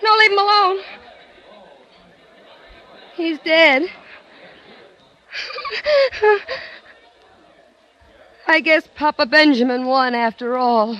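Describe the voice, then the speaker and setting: A woman's voice: high-pitched, unhurried, pushed and bright, highs cut off. An actress in 1940s radio style playing an anxious, grieving woman who fears a voodoo curse. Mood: distraught. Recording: vintage radio broadcast with music and crowd.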